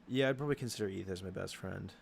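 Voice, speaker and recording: A young man hosting a casual livestream Q and A, answering chat questions in a halting way with many ums and restarts. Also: deep voice, vocal fry, slight background noise.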